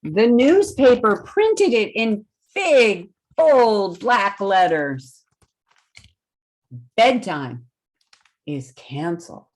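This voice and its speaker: Slow, very expressive woman reading to children. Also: mid-range voice, poor audio. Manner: expressive, deliberate, simple phrasing with questions to children. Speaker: storytime host reading a picture book to children. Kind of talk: read-aloud storytelling with asides to young listeners.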